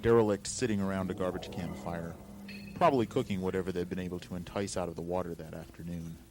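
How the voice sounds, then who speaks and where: A man's voice: low voice, steady tone, fast talker, over music. A man reading a scripted first-person story with a wry delivery, on a noisy amateur recording.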